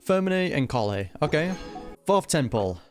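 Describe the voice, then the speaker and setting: A man's voice: a little high, big pitch swings, moderate pace. A man giving casual, slangy live commentary as a gaming streamer, with wry asides. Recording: game music behind the commentary.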